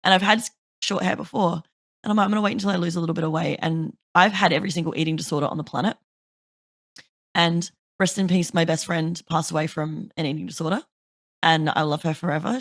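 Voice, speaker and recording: Brief: woman host with a lowish voice, dry close sound, chatty, swears a lot. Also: creaky, no echo. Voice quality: deeper voice. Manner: chatty, swears freely, animated storytelling. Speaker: podcast host. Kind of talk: casual chat.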